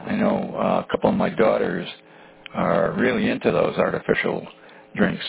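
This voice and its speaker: A middle-aged man with a hoarse voice speaks low and very gravelly. He talks in an easygoing, halting way, filling pauses often. The sound is squeezed into a thin, phone-like radio band. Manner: easygoing, halting with many fillers. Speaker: amateur radio operator. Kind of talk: casual radio chat. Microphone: radio transceiver mic.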